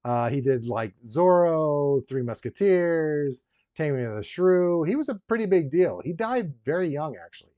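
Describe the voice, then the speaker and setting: A man with a slightly high voice hosts a casual live stream for collectors. He rambles along, tossing in joking asides as he chats with viewers. The sound is roomy and a little dull and lo-fi. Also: animated, normal speed, warm tone. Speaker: live stream host, toy collector unboxing a figure.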